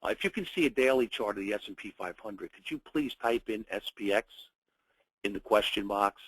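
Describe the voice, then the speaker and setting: A man hosting a webinar, his voice fairly low and a bit husky, and nasal from a cold. He greets viewers in a friendly way, talking in short phrases padded with fillers. The room sounds dry and close. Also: a little fast, no room sound, rough recording. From United States. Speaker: webinar host, trading educator. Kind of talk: live webinar presentation.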